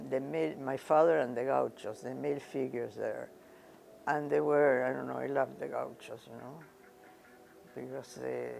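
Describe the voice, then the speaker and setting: An older woman from Argentina, a painter giving reflective interview answers, unhurried and often searching for words and repeating phrases. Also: a bass voice, vocal fry, darkish tone, a little hiss or hum, rough recording.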